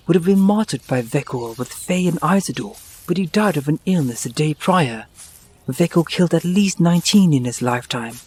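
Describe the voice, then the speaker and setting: A man's voice: fairly high pitch, darkish tone, a little hiss or hum, natural voice. A man playing an earnest, learned wolf who recounts history formally in a scripted fantasy drama.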